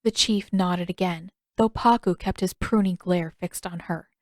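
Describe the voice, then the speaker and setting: A woman's voice: on the low side, a little slow, dry recording. Woman reading a story, very dry sound. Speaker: fan fiction author and reader. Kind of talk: scripted story narration.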